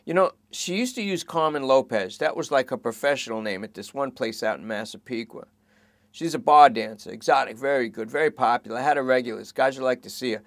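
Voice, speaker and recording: A whining, rambling junkie thief under arrest, as a man reads him aloud, pitched well above the reader's usual voice. He pleads fast and nervously and keeps talking over himself. The sound is dry and close, with no room around it. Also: dry recording, natural voice. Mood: pleading. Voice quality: pleading, rambling and self-pitying. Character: whiny petty-thief junkie man in custody.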